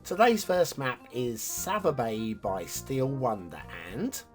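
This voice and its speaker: Male host, hoarse and a bit raspy, chatty and animated, mid-pitched, with soft music behind. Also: takes it slowly. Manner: chatty, animated, sudden jumps in loudness. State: hoarse from a chest infection. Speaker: game map reviewer, host. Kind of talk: casual commentary over gameplay.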